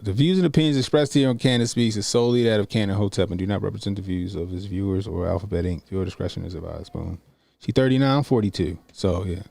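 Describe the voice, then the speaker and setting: A man's voice: lowish voice, vocal fry, dark tone, no room sound. A man, chatting loosely and profanely while reacting to a video. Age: 40s. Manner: loose, profane, rambling with slang. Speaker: commentary streamer. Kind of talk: casual reaction stream.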